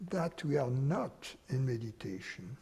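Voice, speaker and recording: Male meditation teacher, slow and unhurried, mellow husky voice, long hall reverb. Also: medium pitch, sing-song. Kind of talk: guided meditation.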